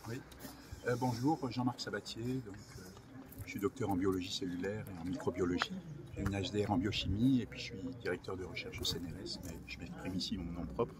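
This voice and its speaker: A man's voice: low-pitched, quick pace, over a noisy crowd. A man giving technical explanations as an interview guest, hesitating and repeating himself as he goes. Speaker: research director in biology, interview guest. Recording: loud ambient background noise.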